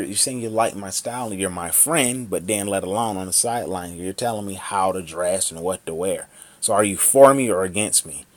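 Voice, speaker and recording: A man's voice: lowish voice, dry recording. A man in his thirties ranting angrily to the camera in a vlog, swearing a lot and repeating himself. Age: 30s. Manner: angry, profane, repetitive ranting. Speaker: vlogger.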